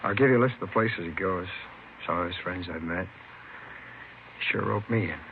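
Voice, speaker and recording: An actor playing a contrite small-time crook in an old radio drama, halting and pleading. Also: male voice, deep voice, gravelly. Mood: cooperative. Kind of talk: 1950s radio drama acting. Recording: muffled, hissy old radio recording.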